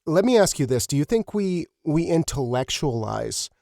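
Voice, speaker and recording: A man's voice: on the high side, big pitch swings, moderate pace, vocal fry, warm tone, no echo, studio quality. A man hosting a podcast in a casual conversational style, feeling his way hesitantly through questions and repeating words as he goes. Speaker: podcast host, interviewer.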